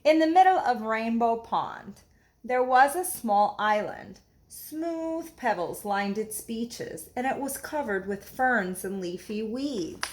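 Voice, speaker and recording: Slow, higher-voiced woman reading a storybook. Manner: deliberate, explaining words to children. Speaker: read-aloud teacher. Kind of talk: expressive children's storybook read-aloud.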